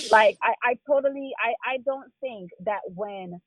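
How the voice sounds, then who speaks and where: A woman from Jamaica with a Jamaican accent, telling stories as a guest in a casual interview, with quick laughs. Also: fairly high pitch. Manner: animated storyteller, quick laughs. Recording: tinny phone line.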